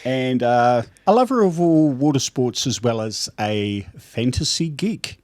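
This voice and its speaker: A man hosting a podcast interview, relaxed and easygoing, opening with Māori greetings. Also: mid-range voice, measured pace, no echo. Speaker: podcast host. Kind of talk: casual interview.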